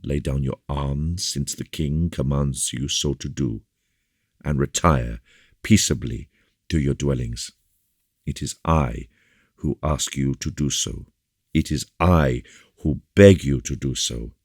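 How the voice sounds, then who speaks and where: A man voicing a solemn, commanding bishop who speaks with grave, measured courtesy in an audiobook. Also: a bass voice, animated, no room sound, clean studio sound. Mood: solemn appeal. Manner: grave, measured rhetoric with repeated appeals. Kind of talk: audiobook character dialogue.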